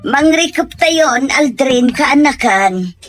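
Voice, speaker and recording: An actress with a Filipino accent playing a kindly, trusting middle-aged aunt in a radio drama. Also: female voice, sits high, lively intonation, slow, faint music behind.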